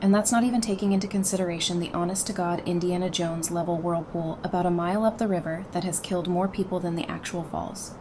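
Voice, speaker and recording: An actress playing a frank, determined and playful psychiatrist surviving the apocalypse, talking informally in an audio drama. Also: female voice, fairly low pitch, fairly even intonation, fast, hardly any pauses, close to an everyday voice. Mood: uneasy, candid. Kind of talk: fan audio drama acting. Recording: clearly noisy, with ambience behind.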